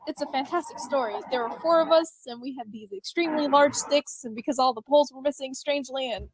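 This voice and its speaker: Excitable, flustered alien storyteller man, played by a woman, high and theatrical, for a fan audio drama. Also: quick pace, noisy background, natural voice. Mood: cheerful rambling. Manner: theatrical, rambling.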